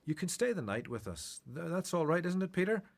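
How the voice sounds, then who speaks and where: Man playing husky-voiced, expressive gentle teacher. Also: sits high, a little fast, full character voice. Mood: gentle, caring. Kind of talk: radio Bible drama acting.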